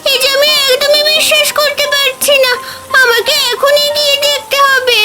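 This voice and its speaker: Very high-pitched eager little village girl. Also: level tone, moderate pace. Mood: alarmed, insistent. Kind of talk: cartoon fairy tale acting. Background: music.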